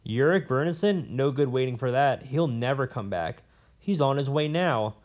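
A man's voice: medium pitch, expressive, moderate pace, a little mellow, voice pushed up, natural voice. A man voicing a pompous, rambling old male professor in an audiobook, blustering and fussy. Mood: gloomy. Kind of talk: audiobook character voice.

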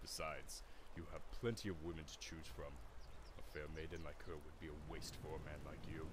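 An actor playing a vain, boastful fantasy warrior, speaking grandiosely and mockingly in game-style dialogue. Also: male voice, extremely low pitch, steady tone, brisk, heavy background noise. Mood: smug, boastful. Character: smug, vain fantasy warrior smitten with a maiden.

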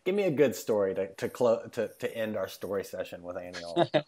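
A man's voice: on the low side, sing-song, a little fast, over a noisy crowd. A man hosting a casual podcast interview, often laughing and cheering on his guest. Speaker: podcast host.

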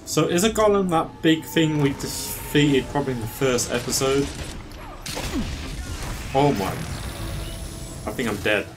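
A young man in his twenties giving excitable, casual commentary on a video game as he plays. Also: mid-range voice, a little slow, long pauses, background noise. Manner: excitable, exclamatory, talking to himself. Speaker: gaming streamer. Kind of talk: casual let's-play commentary. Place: small room at home. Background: game music.

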